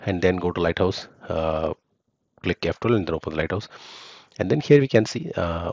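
Deep-voiced man explaining software steps. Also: dry recording, muffled recording. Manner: explanatory, frequent fillers and restarts. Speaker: software testing instructor. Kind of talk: screen-recorded tutorial walkthrough.

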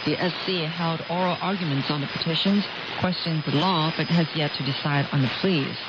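A man with a fairly high voice reads scripted news as a radio presenter. His delivery is formal and measured, phrase by phrase. The shortwave broadcast is dull and lo-fi, with noise clearly over it.

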